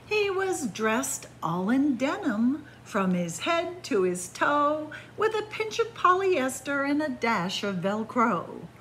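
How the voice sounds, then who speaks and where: A middle-aged woman reading a picture book aloud to children in an animated, deliberate storytelling manner. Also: sits high, slight background noise, poor audio. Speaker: library story-time reader. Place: indoors in a library among bookshelves.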